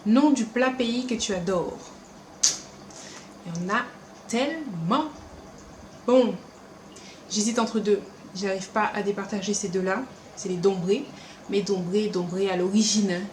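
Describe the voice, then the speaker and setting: A young woman whose French carries a Caribbean lilt blended with Paris speech. She chats at a middle pitch, quick and animated with playful asides. The room is echoey and a faint hum sits in the background. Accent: French Caribbean, mixed with Paris French. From France, born in Paris, raised also in Martinique. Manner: chatty, animated, quick asides and acted-out quotes. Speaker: beauty vlogger. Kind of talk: casual chat to camera. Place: room at home.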